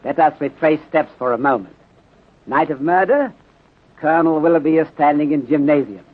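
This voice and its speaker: A man playing a courteous, methodical Chinese detective inspector in 1930s radio drama style, speaking formally in clipped phrasing with deliberate pauses and dropped articles. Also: a little high, lightly acted. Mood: insistent, deliberate. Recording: muffled, narrow-band 1930s radio recording.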